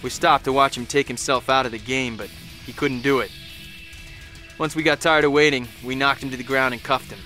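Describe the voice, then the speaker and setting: A man's voice: mid-range voice, steady tone, a little fast, pushed and bright, lightly acted. A man playing a weary soldier, a family man, narrating his war in a game cutscene. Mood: matter-of-fact. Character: weary American male soldier, husband and father, recounting combat. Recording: music laid behind the voice.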